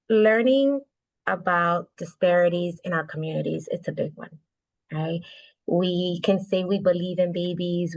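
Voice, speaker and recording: An earnest woman speaking on a video call with steady conviction. She leads a social work nonprofit and often checks in with a quick "right?". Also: lowish voice, rough recording. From United States. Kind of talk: video call panel discussion.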